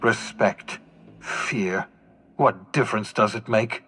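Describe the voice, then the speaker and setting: An actor playing a despairing, defiant older fugitive scientist in a video game, theatrical and swinging from bitter to pleading. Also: male voice, fairly low pitch, slow pace, fairly bright tone, slightly rough, exaggerated voice. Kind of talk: dramatic video game acting.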